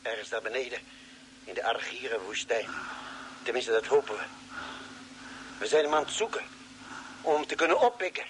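An actor playing a patient, commanding male spaceship doctor in a Dutch radio drama, speaking deliberately. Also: medium pitch, expressive, natural voice. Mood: calm, explaining. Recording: noisy old radio drama recording.

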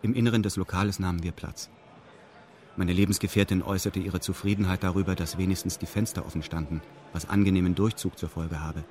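Actor as a low-voiced, detached male narrator. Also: steady tone, quick pace, a little mellow, natural voice. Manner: formal, detached, meticulous. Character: dry, meticulous male first-person narrator. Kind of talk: scripted radio play narration in reported speech.

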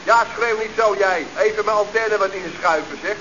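An actor playing a cheeky, slangy youngster who reports in as if over a walkie-talkie in a radio comedy. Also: male voice, sits high, normal speed, lightly acted. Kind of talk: Dutch radio comedy acting. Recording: dull, noisy old radio recording.

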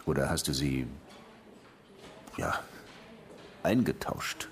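An actor playing a brooding, world-weary police lieutenant in a radio crime drama, laconic and probing with a melancholy streak. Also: male voice, a bass voice, lively intonation, slow, vocal fry, a little hiss or hum, full character voice. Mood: probing, skeptical. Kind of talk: naturalistic radio play acting.

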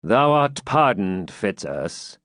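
Vain, imperious prince for a medieval storybook, male reader: pompous archaic phrasing, highly animated pitch, close dry sound. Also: measured pace, dry recording. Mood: grave, pompous.

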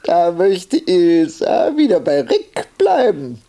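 An elderly carer in a radio play, with a fairly high, lively voice that swings up and down. He is impatient and weary, chiding his bedridden partner in a brisk manner. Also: full character voice. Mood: reminiscing. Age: older. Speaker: radio drama actor. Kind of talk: radio drama dialogue.

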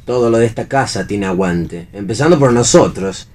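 An Argentine actor playing a wry, bantering husband, fond but sceptical, in a radio play reading. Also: male voice, on the low side, expressive, moderate pace, darkish tone, close to an everyday voice. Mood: dry, ironic.

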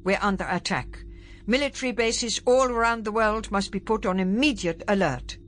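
Woman as a precise, formal senior official, pitched up. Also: normal speed, a little hiss or hum, close to an everyday voice. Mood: businesslike. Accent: slightly foreign. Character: weary, precise male international space official, formal and careful.

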